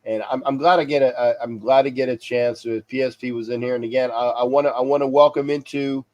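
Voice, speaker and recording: A man's voice: mid-range voice, steady tone, fast, darkish tone, dull audio. A man hosting a sports talk livestream, stuffy and congested, rambling casually and repeating himself as he signs off to viewers. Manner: chuckles to himself. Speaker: sports talk show host.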